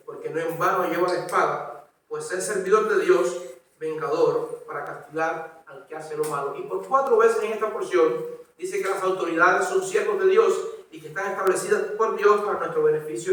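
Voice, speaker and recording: A man's voice: sits high, fairly bright tone. A man with a Cuban accent preaching an expository sermon, often repeating words as he rephrases. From Cuba. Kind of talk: expository sermon with scripture reading. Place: church hall. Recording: echoey room, distant microphone.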